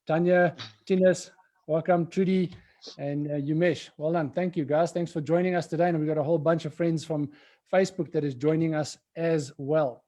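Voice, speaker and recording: A man's voice: a little high, warm tone. A man co-hosting an immigration livestream in a casual, rambling way, full of fillers and restarts. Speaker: co-host of an immigration webinar.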